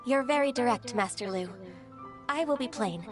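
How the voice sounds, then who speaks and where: A composed young official in a video game, with a high, expressive voice. She speaks in a polite, precise, formal manner over clear background music. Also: slow pace. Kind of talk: scripted game dialogue.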